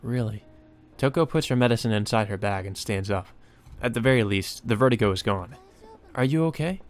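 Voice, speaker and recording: A man's voice: on the low side, brisk, faint music behind. A man hosting a Let's Play, reading a visual novel aloud with casual commentary.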